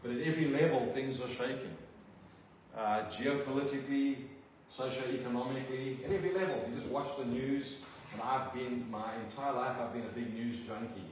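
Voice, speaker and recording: An older man with a South African accent, a visiting preacher giving an earnest sermon and prayer with halting restarts. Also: mid-range voice, darkish tone. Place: church. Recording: very echoey church from a distant mic with room noise.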